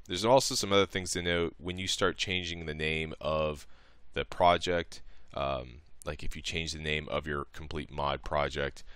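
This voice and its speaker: A man with an extremely low, bright voice walks through software steps as a tutorial presenter. He explains things informally, one step at a time, in a casual narration. The sound is clean and dry, close to the listener. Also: clean tone, dry recording.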